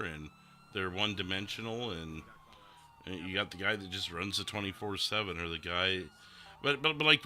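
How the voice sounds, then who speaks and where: A man's voice: low-pitched, sharp tone. A man hosting a podcast, chatting casually about gaming roleplay. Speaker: podcast host. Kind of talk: casual chat reviewing gaming roleplay footage.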